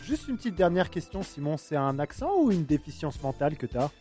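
A man's voice: fairly high pitch, sing-song, brisk, over music. A young man co-hosting a student radio show, throwing in teasing, provocative jokes. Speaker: co-host. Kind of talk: live radio banter.

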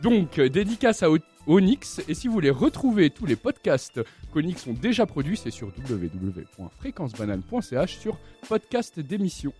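A man's voice: a little high, big pitch swings, moderate pace, few pauses, warm tone, faint music behind. A young man hosting a live student radio show from a studio in a chatty, joking way.

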